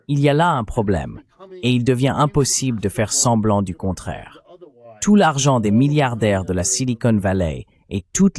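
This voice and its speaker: A man's synthetic dubbing voice reading political commentary in a measured, articulate way. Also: mid-range voice, darkish tone. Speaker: voice-over translator for a panelist.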